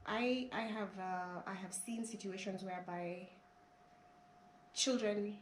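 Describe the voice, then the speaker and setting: Hoarse woman in her forties from Kenya, earnest and halting, in an echoey room. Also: medium pitch, a little hiss or hum. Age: 40s. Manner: hesitant, restarts, earnest. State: hoarse from a flu. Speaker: channel host, interviewee. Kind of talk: casual interview. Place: her family home in a village.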